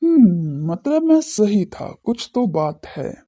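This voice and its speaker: A woman's voice: mid-range voice, sing-song, takes it slowly, mellow. A woman voicing serious, brooding young men in a romance audio drama, with stern, restrained delivery. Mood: grave. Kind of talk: audio drama character dialogue.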